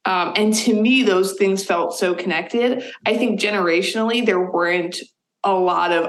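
A woman from the Boston area, a comedian guest chatting casually in an interview, telling stories with lots of "like"s. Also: fairly low pitch. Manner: chatty storyteller, many "like"s. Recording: roomy echo.

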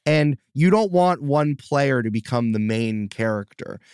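Game master guy chatting on a podcast, mid-pitch, super dry close sound, excited explainer. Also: clean tone, dry recording. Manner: enthusiastic, explanatory, quick asides. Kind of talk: casual podcast chat.

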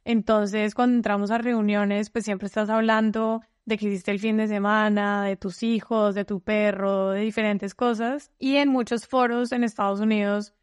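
A talkative Colombian woman, a podcast guest in relaxed conversation. She speaks at an ordinary middle pitch and often stops and restarts her phrases. The recording is close and dry, with no room sound. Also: normal speed, hardly any pauses, clear, resonant tone, no room sound. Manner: talkative, frequent restarts and fillers. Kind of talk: casual interview chat.

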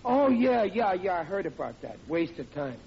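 A man's voice: sits high, sing-song, normal speed, darkish tone. A man playing a dim, happy-go-lucky American working stiff who blusters through a 1940s radio comedy. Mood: self-satisfied. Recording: hissy, dull vintage radio broadcast.

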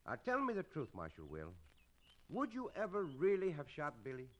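An actor playing a confident, gallant cowboy hero with measured, formal phrasing in an old-time radio western. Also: male voice, a little high, huge pitch swings, highs cut off. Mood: warm, wry. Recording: hissy old radio recording.

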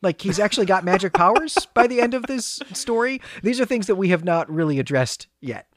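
A man's voice: high voice, a little fast, dry recording, studio quality. Candid man chatting about a story. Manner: candid, conversational, lively asides. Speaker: podcast co-host. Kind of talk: literary discussion podcast.

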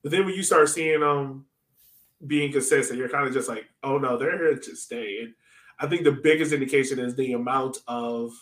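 A man's voice: medium pitch, moderate pace, a bit bright, reverberant. A man hosting a podcast, chatting casually about sports in an informal, slangy way. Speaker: podcast host.

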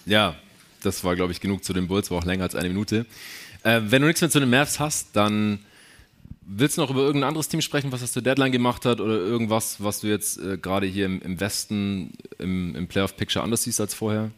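Man, fairly low voice, colloquial, jokey, live sports podcast host. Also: brisk. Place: live stage show.

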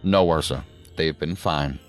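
A senior federal director in a futuristic radio play. His voice is exceptionally deep, and he talks with measured, formal authority. Light music sits underneath. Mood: commanding, businesslike. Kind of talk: audio drama acting.

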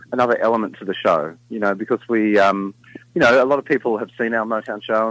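A middle-aged man with a low baritone voice, chatting casually as a guest in an interview and rambling with many fillers and restarts. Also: fairly bright tone, no room sound, rough recording. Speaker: singer in a vocal group, guest.

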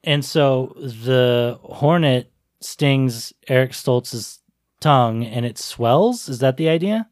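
A man's voice: mid-range voice, expressive, slow pace, dry recording, studio quality. A man in casual, profane banter as a podcast co-host, talking in a puzzled, questioning, exasperated way. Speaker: podcast co-host discussing a movie.

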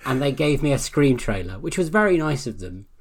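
A man's voice: medium pitch, sing-song, brisk, breathy edge. A man co-hosting a podcast, asking questions in a casual, dry and teasing way. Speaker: podcast co-host. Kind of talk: casual film podcast chat.

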